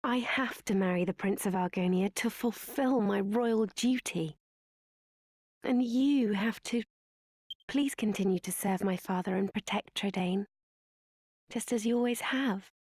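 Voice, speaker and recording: An actress playing a gentle, dutiful young princess in a video game, speaking formally and tenderly with a breathy voice. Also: female voice, medium pitch, measured pace, dry recording, studio quality, close to an everyday voice. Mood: resigned, dutiful. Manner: formal, soft-spoken, tender. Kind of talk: scripted video game voice acting. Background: game music.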